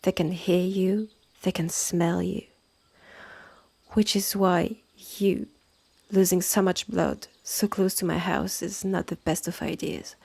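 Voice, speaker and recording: Creaky, haughty French-accented witch, acted by a woman. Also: lowish voice, fairly even intonation, a little mellow, full character voice. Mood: condescending, ominous. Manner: condescending, deliberate, clipped phrases with exasperated sighs. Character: stern, haughty witch innkeeper, sardonic and impatient. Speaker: roleplay audio performer. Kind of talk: scripted second-person audio roleplay, close and measured.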